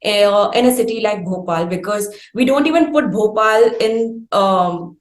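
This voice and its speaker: A woman with an Indian accent speaks at a middle pitch, her voice carrying a roomy echo. She is interviewing, building long, wordy questions that wind along as she carefully rephrases them. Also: measured pace.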